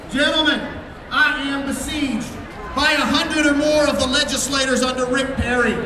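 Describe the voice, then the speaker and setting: Echoing, high-pitched American man giving rally oratory. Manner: declamatory, emphatic, projecting loudly with dramatic phrasing. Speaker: school superintendent speaking at a rally. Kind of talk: impassioned political rally oratory. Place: outdoors on capitol steps before a large crowd. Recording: echoey outdoor PA, distant mic, noisy crowd. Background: loud crowd and ambient noise.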